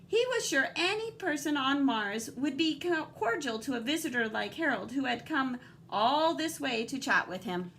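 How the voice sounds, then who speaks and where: Female teacher storyteller, high voice, expressive and dramatic picture-book delivery for young kids, slight background noise. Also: normal speed, hardly any pauses. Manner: animated, dramatic emphasis, guiding and questioning. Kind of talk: expressive children's read-aloud with asides to young listeners.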